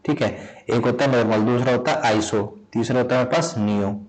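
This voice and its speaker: A man from India teaching a chemistry lesson in Hindi. He has a sore throat and clears it often. He keeps asking questions and answering them himself, and repeats each point. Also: medium pitch, a little fast, rough recording. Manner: repetitive, asks and answers own questions. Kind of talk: explaining a lesson.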